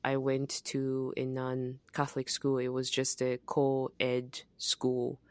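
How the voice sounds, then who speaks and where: A young adult woman from Zambia co-hosting a podcast, talking in a relaxed, reflective way with frequent fillers and laughs. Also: a bass voice, slow pace, no room sound. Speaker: podcast co-host. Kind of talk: casual conversational podcast.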